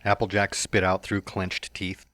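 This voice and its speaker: A man narrates a storybook tale in a very low, deep voice, slipping into a cocky, teasing tone for the hero's own lines. The sound is close and dry, with no room around it. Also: monotone, measured pace, a bit bright, no room sound. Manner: first-person narration with playful smug asides. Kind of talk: fan dramatic reading of a story.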